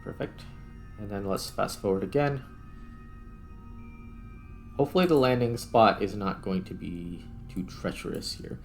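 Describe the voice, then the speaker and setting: Low-pitched man thinking aloud while gaming. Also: a little slow, gravelly, pauses often, faint music behind. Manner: tentative, self-questioning, narrating each step. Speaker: gaming video host. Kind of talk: casual gameplay commentary, thinking aloud.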